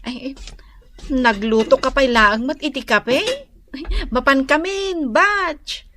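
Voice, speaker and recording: A woman's voice: high-pitched, takes it slowly, sharp tone, a little hiss or hum, exaggerated voice. An actress with an Ilocano Filipino accent playing a chatty, teasing married woman in a radio drama. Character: chatty, teasing young married woman visiting an old classmate.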